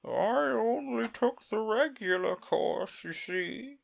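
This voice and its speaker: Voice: mid-range voice, lively intonation, vocal fry, voice pushed up, heavily acted. Reader doing a drawling sobbing Mock Turtle. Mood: mournful. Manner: slow mournful drawl, sighing. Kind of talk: storybook reading.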